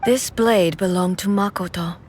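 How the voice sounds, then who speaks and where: A woman playing a stern, resolute warrior ruler in a video game, speaking in a measured, formal, grave manner. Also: on the low side, a little mellow, a little hiss or hum, natural voice. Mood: solemn. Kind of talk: scripted video game acting.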